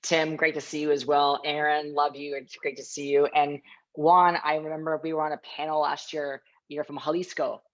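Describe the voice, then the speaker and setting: A woman's voice: low-pitched, level tone, normal speed. A woman panelist speaking candidly and chattily over a video call. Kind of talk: casual panel sharing. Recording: dull lo-fi call audio.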